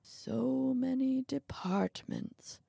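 A woman voicing a sinister, irascible old male villain in an audiobook, with a slow, grumbling, contemptuous delivery. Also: high voice, vocal fry, warm tone, husky, no room sound, studio quality, pitched above the performer's own voice, exaggerated voice. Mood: stern.

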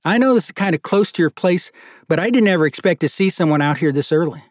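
A man's voice: fairly high pitch, animated, fast, no echo, clean studio sound, voice pushed up, natural voice. A man voicing a casual, hesitant young man, slightly sheepish, in an audiobook story. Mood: startled, friendly.

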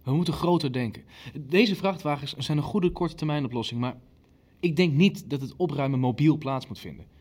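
A man's voice: fairly high pitch, moderate pace, a little mellow, lightly acted. Businesslike, cold Nazi official played by a man. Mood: cold, decisive. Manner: businesslike, matter-of-fact. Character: cold, decisive Nazi official planning at a wartime conference. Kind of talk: Dutch radio play dialogue.

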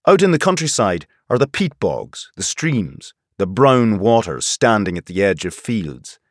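Low-voiced male audiobook narrator, expressive range. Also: a little slow, no echo, studio quality. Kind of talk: scripted literary nonfiction audiobook narration.

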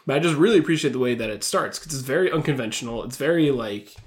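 A man's voice: medium pitch, animated, fast talker. Informal man chatting as podcast co-host. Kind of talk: casual film discussion chat.

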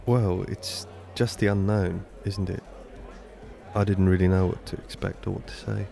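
A man's voice: deep voice, flat delivery, normal speed, vocal fry, dark tone, soft background music, a faint crowd. An actor with a British accent playing a nervous, self-deprecating man on a first date in an audio drama. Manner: hesitant, self-deprecating joking. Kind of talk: naturalistic audio drama dialogue.

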